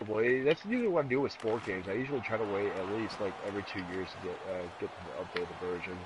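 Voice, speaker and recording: A man's voice: fairly low pitch, fast, a crowd in the background, rough recording. A man chatting casually and rambling with some swearing while he streams a video game as a host. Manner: profane, rambling. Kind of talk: casual chat while gaming.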